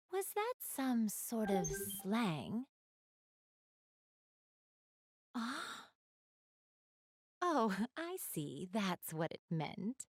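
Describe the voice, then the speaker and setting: A woman's voice: on the high side, a little mellow, dry recording, studio quality, heavily acted. A woman playing a gracious, refined young goddess in a video game, speaking to the player in polite, elegant, formal phrasing. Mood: thoughtful.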